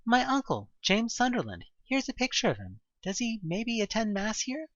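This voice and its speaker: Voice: medium pitch, wildly swooping pitch, moderate pace, a bit bright, dry recording, lo-fi sound, voice pushed up. A performer voicing a wary, polite young woman searching for her uncle in an audio drama.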